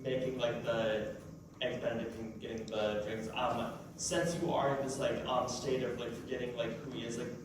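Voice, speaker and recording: A man in an audience giving casual spoken feedback in a halting way full of fillers. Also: mid-range voice, flat delivery. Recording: very echoey room, distant, noisy.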